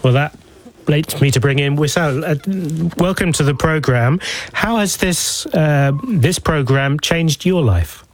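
A man's voice: a little high, a little slow. A man presenting a news programme from a studio, introducing items and interviewing guests. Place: radio studio.

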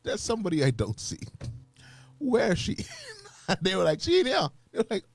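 A man's voice: high-pitched, huge pitch swings, moderate pace, creaky, slightly rough. A man, a DJ co-hosting a show, joking and laughing loosely. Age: 40s. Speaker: DJ and co-host. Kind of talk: casual chat. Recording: faint music behind.

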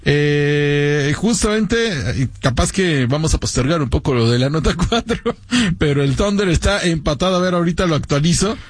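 A man co-hosting a sports radio show, chatty and excited. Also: fairly high pitch, normal speed, no echo. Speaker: co-host. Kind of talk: casual sports radio talk.

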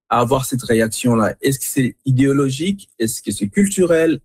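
A man's voice: medium pitch, darkish tone, slightly rough, no room sound. A man from Congo speaking as an artist in an interview, reflective, searching for his words and repeating himself. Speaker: visual artist being interviewed. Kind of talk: reflective interview answers about own work.

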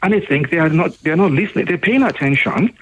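A man's voice: fairly high pitch, expressive, fast talker, fairly bright tone, breathy edge. A man who was born abroad, calling a talkback radio show and speaking earnestly with building emphasis. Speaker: caller. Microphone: phone. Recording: phone line.

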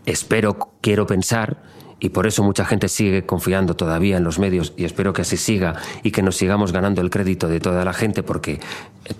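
A man's voice: low-pitched, steady tone, fairly quick. A middle-aged man, a radio journalist whose first language is Catalan, speaking earnestly with frequent hesitations. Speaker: radio journalist and podcast host. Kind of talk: conversational podcast talk.

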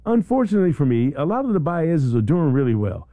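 A man's voice: a little high, big pitch swings, fast, narrow, tinny audio. A middle-aged man hosting a morning talk radio show, chatting casually and rambling through stories with many restarts. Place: radio studio. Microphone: studio microphone.

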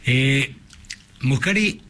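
A man's voice: mid-range voice, animated, very slow, fairly bright tone. A man with a Rioplatense Argentine accent interviewing a guest, asking probing questions in a conversational tone. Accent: Rioplatense Spanish. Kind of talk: radio interview.

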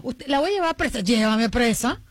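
Lively woman host, teasing. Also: on the high side, normal speed. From Venezuela. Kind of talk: casual morning-show banter.

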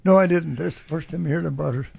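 A man hosting a radio show with casual banter and a gravelly, creaky voice. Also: fairly high pitch, quick pace, a little mellow, no echo. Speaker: radio host.

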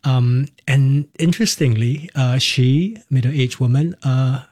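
A man's voice: medium pitch, slow, a little mellow, no room sound, clean studio sound. A man in his fifties with a Singaporean accent, a Cantonese native speaker, telling his life story as an interview guest in a reflective, candid way with short restarting phrases. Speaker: interview guest, musical theatre performer.

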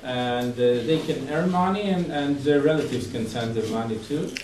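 A man's voice: medium pitch, moderate pace. Guy showing people round, far from mic, noisy field tape. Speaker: guide at a camp. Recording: roomy, noisy distant field recording.

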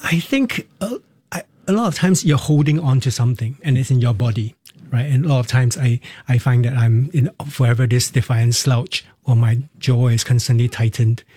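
A middle-aged man, a Cantonese speaker, reflecting on personal experience in a halting, candid way as an interview guest. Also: mid-range voice, dark tone, slightly rough, no room sound, studio quality. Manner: halting, candid, tags phrases with "right" and "you know". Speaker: interview guest, performer and counselor.

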